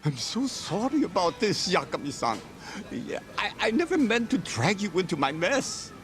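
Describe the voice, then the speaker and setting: An actor playing an excitable, polite foreign male restaurant boss in a video game, earnest and fretful. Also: high voice, normal speed, a little hiss or hum, exaggerated voice. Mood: apologetic, worried. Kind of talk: video game cutscene acting.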